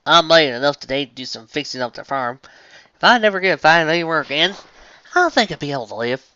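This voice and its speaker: A man voicing a blunt, hardworking farmer pony mare with a loud Southern drawl, reading a fan story aloud. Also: a little high, very animated, fast, smooth, no echo, muffled recording, voice pushed up. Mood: resigned. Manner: loud twangy drawl, impassioned.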